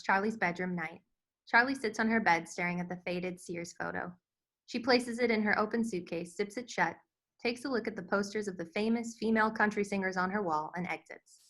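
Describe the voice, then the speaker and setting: A woman's voice: mid-range voice, a bit bright. A woman reading scripted stage directions as the narrator of a screenplay table read. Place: virtual table read over video call.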